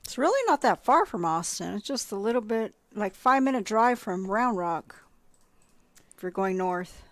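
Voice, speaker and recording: A woman's voice: mid-range voice, expressive, normal speed, dry recording. A woman co-hosting a poker stream, chatting casually and easily about how she plays. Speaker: poker commentary co-host.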